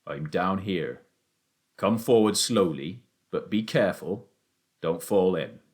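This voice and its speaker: Storybook role: trapped, injured dad acted by a man, deep-leaning voice, affectionate, steady under strain. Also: slow pace. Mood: urgent warning.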